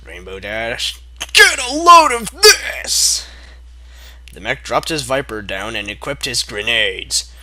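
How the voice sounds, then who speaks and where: A man's voice: mid-range voice, bright, forceful tone. A man reading a fan story aloud, breaking off into mocking asides and bursts of laughter. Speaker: reader. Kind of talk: comedic fanfic reading.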